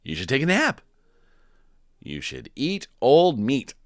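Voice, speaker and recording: A man's voice: medium pitch, huge pitch swings, slow, no room sound, clean studio sound, voice pushed up. A man voicing pushy, cheerful storybook animals, exuberant and singsong as they insist. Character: crowd of pushy, cheerful animals giving advice.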